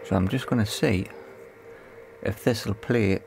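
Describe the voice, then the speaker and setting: A man with a fairly low voice and noticeable fry in it. He talks like a casual repair video presenter, explaining things in a matter-of-fact way and pausing to find his words. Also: a little mellow, soft background music.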